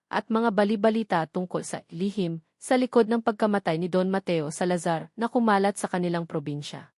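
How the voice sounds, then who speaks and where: A woman narrates scripted fiction in a measured, solemn storytelling manner. Her voice sits at a medium pitch. The sound is dry, free of any room echo. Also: a little mellow.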